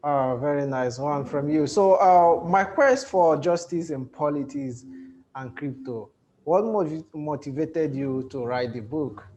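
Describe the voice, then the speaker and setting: A man hosting an interview, polite and somewhat halting in how he puts his questions and thanks. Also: fairly high pitch, a little mellow, dull audio. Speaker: interviewer, host.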